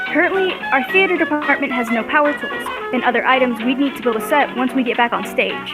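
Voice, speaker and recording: A woman's voice: sits high, brisk, music in the background. A teenage young woman, a student presenter, reading a scripted fundraising announcement.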